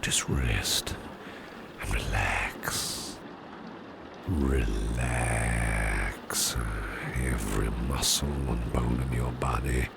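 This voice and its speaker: A man playing a doting, reassuring businessman boss in an ASMR roleplay, speaking in a breathy, half-whispered murmur with soothing, genteel phrasing. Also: rumbling low, very slow, heavy background noise, heavily acted. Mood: tender, lulling. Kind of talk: ASMR personal-attention roleplay.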